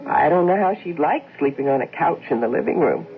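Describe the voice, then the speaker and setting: A woman's voice: sits low, lively intonation, fairly quick, soft background music. A woman playing an anxious, insistent housewife in a radio drama, homely and fretful in manner. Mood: careful. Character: anxious, insistent housewife, a devoted mother and daughter. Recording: tinny, noisy old radio recording.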